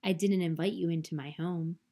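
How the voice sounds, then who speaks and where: Woman doing a squeaky excitable little skunk lady, super friendly and chatty, storybook voice. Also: brisk. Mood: wary. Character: friendly chatty female skunk, small and hospitable.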